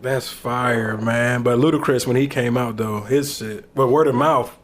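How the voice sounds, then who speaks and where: A man's voice: medium pitch, fairly even intonation, measured pace, a little hiss or hum. A thirty-year-old man from Ohio hosting a casual podcast chat, laid-back and slangy, talking into a desk mic in a small studio. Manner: laid-back, slangy, hypes up his guest. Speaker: podcast host. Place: small studio with backdrop, indoors. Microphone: desk mic on boom arm.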